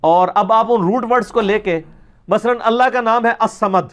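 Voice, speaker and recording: A man with a Pakistani Punjabi accent giving an informal religious question-and-answer talk, explaining emphatically in colloquial speech and checking that the listener follows. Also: high-pitched.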